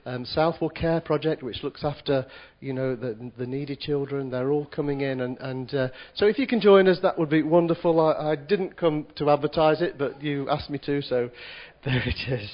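A man preaching a sermon in church, husky from a cold, chatty and self-deprecating with stammered restarts. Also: fairly high pitch, moderate pace, highs cut off. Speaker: visiting preacher.

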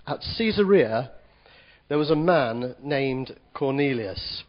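A man preaching a sermon in church, husky from a cold, chatty and self-deprecating with stammered restarts. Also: fairly high pitch, big pitch swings, extremely slow, highs cut off. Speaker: visiting preacher.

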